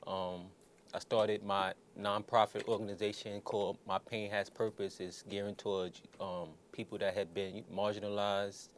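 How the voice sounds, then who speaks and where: This is a man from New York City with a fairly low voice. He talks as a guest in an interview, giving earnest answers in a conversational way. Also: level tone, normal speed, slight background noise. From New York City, born in the Bronx, raised in Queens. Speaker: interview guest, nonprofit founder.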